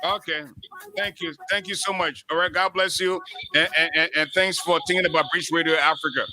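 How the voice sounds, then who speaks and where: Man in his fifties, call-in radio host, high voice, very bright and forceful tone, repeats himself. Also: fairly even intonation, a little fast. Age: 50s. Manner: chatty, repeats himself, stumbles into restarts. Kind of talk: live call-in radio chat.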